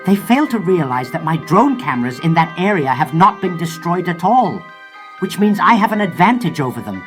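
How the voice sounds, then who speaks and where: A man's voice: fairly high pitch, big pitch swings, moderate pace, faint music behind. A man voicing a gleefully wicked, bullying mad scientist villain in a theatrical, gloating comic dub style. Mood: gloating, scheming. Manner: theatrical, gloating, barking orders.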